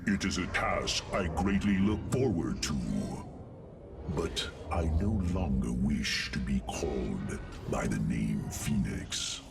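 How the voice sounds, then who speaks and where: An actor playing a proud, honorable machine warrior in a science fiction game, with a gravelly, dignified delivery. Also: male voice, music in the background, heavily acted. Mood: warm, dignified. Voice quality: very deep, gravelly. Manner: dignified, deliberate. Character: proud, honorable robotic alien warrior, loyal friend. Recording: distant sound, cinematic score behind.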